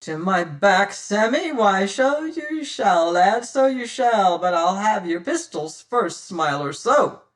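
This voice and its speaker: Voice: mid-range voice, lively intonation, slow, few pauses, recorded from a distance. A reader voicing a bold, cunning male pirate captain who talks hearty old-fashioned sea talk. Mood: confident.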